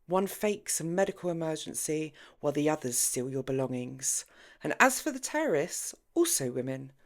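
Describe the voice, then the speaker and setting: A low-pitched, earnest woman played by a female audiobook reader who disapproves and worries. She cautions and scolds like a serious friend in a storybook drama. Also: big pitch swings, normal speed. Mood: exasperated warning.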